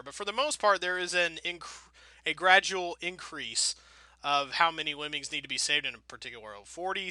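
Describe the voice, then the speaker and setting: A man's voice: on the high side, bright, forceful tone, dry recording. A man giving casual, rambling video game commentary as a let's play host, wordy, wry and self-deprecating.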